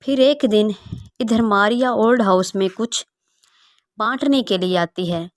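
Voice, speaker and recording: A woman's voice: mid-range voice, a little slow, dry recording, clean studio sound. A woman narrating a scripted story as its storyteller.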